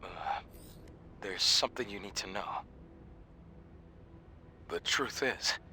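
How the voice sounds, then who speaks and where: A man's voice: on the low side, slow, sharp tone, a little hiss or hum, full character voice. A man playing an easygoing, knowledgeable scout companion in a video game, talking in a casual, conversational way. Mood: awed, grave. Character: easygoing, knowledgeable male scout companion, gently teasing and wistful.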